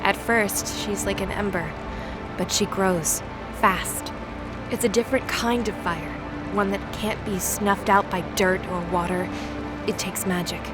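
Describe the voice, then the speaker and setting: Woman playing a confident young warrior goddess over loud music. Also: medium pitch, fairly even intonation, a little slow, lightly acted. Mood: grave foretelling. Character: bold young warrior goddess who foresees outcomes. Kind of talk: audio drama acting. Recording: loud dramatic music behind the voice.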